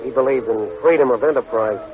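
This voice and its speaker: An actor playing a hard-boiled crime story teller, terse and dry, signing off a vintage radio detective drama. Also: male voice, mid-range voice, fast talker, lightly acted. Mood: dry, inviting. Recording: tinny vintage radio recording with music.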